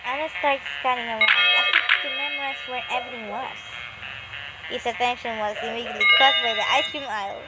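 High-pitched woman narrating a game over music. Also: brisk, poor audio. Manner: expressive, dramatized reading with exclamations. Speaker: gamer reading a visual novel aloud. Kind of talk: let's play narration with excited asides. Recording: loud music behind the voice. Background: loud game music.

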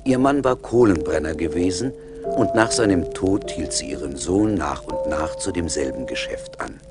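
An actor narrating a fairy tale for a 1980s radio play in a deliberate, expressive storytelling manner. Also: male voice, sits low, heavily acted. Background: music.

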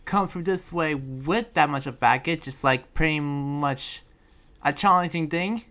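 A man playing a talkative, awkward young demon slayer in an improvised Minecraft roleplay, rambling and halting as he goes. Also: on the high side, pushed and bright. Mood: rambling, explaining. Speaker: roleplayer playing the lead character. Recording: music behind the voice.

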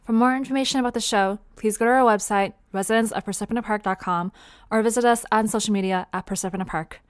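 A woman's voice: medium pitch, steady tone, fast, clear, resonant tone, no echo, studio quality. A woman announcer reads scripted credits. Her delivery is that of a prepared sign-off.

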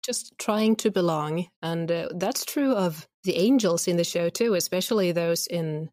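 A woman's voice: fairly low pitch, a little slow, darkish tone, no room sound. A woman chatting casually as an interview guest, with a wry, playful manner. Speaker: audio drama creator, podcast guest.

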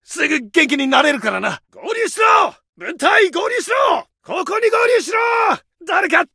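An actor playing a Japanese soldier and tank crewman, shouting clipped military commands and combat callouts in a game. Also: extremely high pitch, sing-song, a little slow, creaky, heavily acted.

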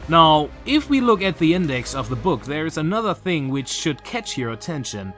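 A young man in his twenties with a German accent, earnestly talking through a game theory from his bedroom, with a few filler pauses. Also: on the high side, sing-song, a bit bright, faint music behind. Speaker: YouTuber. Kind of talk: game theory video commentary. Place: small bedroom with shelves, indoors.

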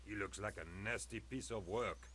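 An actor playing a grumpy, sarcastic middle-aged street sweeper in a point-and-click adventure game, talking dryly with a gruff edge. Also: male voice, fairly low pitch, normal speed, fairly bright tone, a little hiss or hum, close to an everyday voice.

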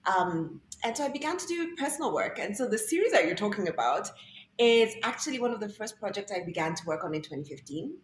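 A young woman from Kenya telling the story of her work as a guest in an interview, reflective and animated when she quotes herself, heard over a video call. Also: medium pitch, fast talker. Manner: reflective storytelling, quoting herself in lively voices. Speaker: guest artist. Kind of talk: conversational interview. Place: bright indoor room, video call. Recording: roomy video-call audio, faint room noise.

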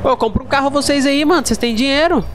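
A man's voice: very high-pitched, fast talker, noisy background. A young man with a Brazilian accent chatting casually while streaming a roleplay game. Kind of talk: casual gameplay chat.

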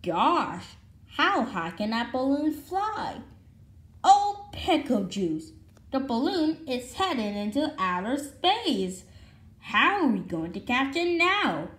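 Female read-along presenter for a picture book, lively intonation, occasional stumbles, echoey room. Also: sits high, slow pace. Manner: stumbles over words and restarts. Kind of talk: reading a children's picture book aloud. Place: indoor room at home with wooden floor.

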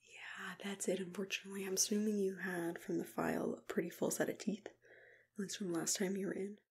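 A young woman speaks in hushed, breathy whispers and very soft murmurs, with clear background noise around her. She plays a dentist in an ASMR roleplay, gentle and reassuring, taking her time between phrases. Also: medium pitch, flat delivery, a little fast. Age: young adult. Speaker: ASMR creator playing a dentist.

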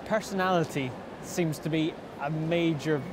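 A man's voice: on the high side, fairly even intonation, moderate pace. A young man presenting and putting questions to guests in an interview. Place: large busy indoor exhibition hall. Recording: busy show hall noise.

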